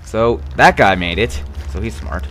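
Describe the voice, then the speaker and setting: A man's voice: lowish voice, lively intonation, measured pace, fairly bright tone, slight background noise. A young man hosting a casual let's play, rambling and wryly complaining about the game, a bit stuffy with a slight cough. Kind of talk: casual gameplay commentary.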